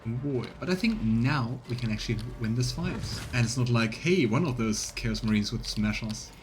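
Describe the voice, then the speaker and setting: Casting: male game streamer, mid-pitched, chatty, thinking aloud over soft background music. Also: animated, normal speed. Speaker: let's play streamer. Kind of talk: casual gameplay commentary, reading text aloud. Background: faint game music.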